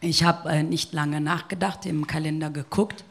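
A woman panelist and former interpreter, speaking carefully and deliberately. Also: sits low, fairly even intonation, creaky, husky. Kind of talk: panel talk.

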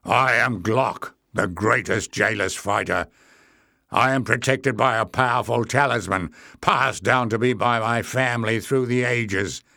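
A man's voice: mid-range voice, a little slow, creaky, sharp tone, dry recording, studio quality. A man voicing a huge, belligerent, boastful warrior in a fantasy story, growling and blustering. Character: hulking savage male warrior, boastful, belligerent, slow-witted.

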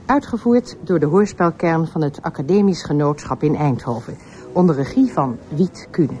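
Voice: medium pitch, expressive, moderate pace, warm tone, faint music behind. An announcer reading the scripted introduction and credits of a radio play.

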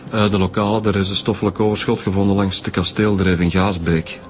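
Actor as low-voiced Flemish junior inspector. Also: male voice, flat delivery, a little fast, background noise, natural voice. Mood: easygoing. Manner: casual, matter-of-fact, colloquial. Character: easygoing junior male police inspector, loyal sidekick. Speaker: police inspector. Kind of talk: naturalistic radio drama acting.

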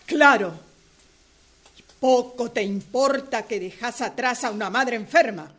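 A woman's voice: a little high, unhurried, a bit bright. A woman with an Argentine accent playing a stern, reproachful married sister in an amateur radio drama, blunt and scolding.

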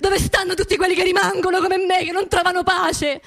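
An actress playing a grieving working-class widow in a radio theatre monologue, with a Roman accent and an intimate, halting delivery that repeats phrases. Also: female voice, extremely high pitch, little pitch movement, fairly bright tone, slight background noise. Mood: anguished outcry. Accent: central Italian, Roman. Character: grieving working-class widow of a construction labourer, haunted, indignant.

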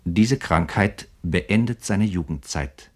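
A male narrator with a low voice delivers a scripted radio biography. His phrasing is formal and measured, each long sentence carefully built.

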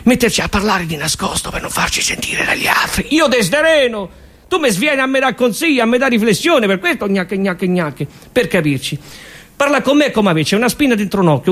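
A man's voice: sits high, very animated, brisk, fairly bright tone. A man with a Roman accent performing a comic stage monologue full of absurd, rhythmic nonsense chanting.

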